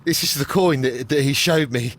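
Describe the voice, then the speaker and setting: A man's voice: medium pitch, moderate pace, fairly bright tone, close to an everyday voice. Man playing a nervous, stammering, haunted councillor. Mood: fearful, agitated. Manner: heavy stammer, hesitant, apologetic. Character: nervous, haunted, guilt-ridden male councillor and archaeology enthusiast. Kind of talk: scripted video game acting.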